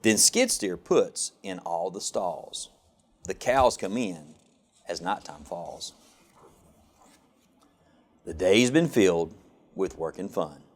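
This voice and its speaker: A man reading a children's picture book aloud with an expressive, sing-song rhyming delivery. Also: deep voice, slow, long pauses. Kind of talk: storybook read-aloud.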